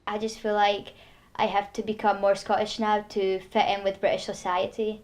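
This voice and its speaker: A woman's voice: mid-range voice, level tone, normal speed, darkish tone. A teenage girl who grew up in Hungary, answering interview questions in a frank, earnest way. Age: teens. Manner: earnest, frank, indignant at times.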